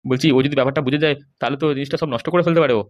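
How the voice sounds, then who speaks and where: A woman's voice: low voice, fairly even intonation, very fast, darkish tone, lightly acted. A woman voicing a fawning, self-seeking political underling in a comedy cartoon. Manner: deferential, wheedling. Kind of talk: amateur comedy cartoon dub.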